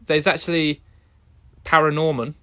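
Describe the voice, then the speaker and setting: A man's voice: on the high side, flat delivery, moderate pace, bright, forceful tone. A young man co-hosting a casual film review podcast, hedging and defending his opinions with many restarts. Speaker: podcast co-host.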